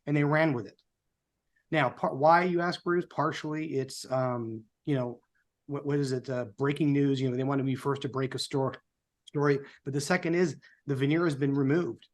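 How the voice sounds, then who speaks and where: A man's voice: medium pitch, fairly even intonation, moderate pace. A sixty-year-old American man giving opinionated, rhetorical political commentary as co-host of a live talk show.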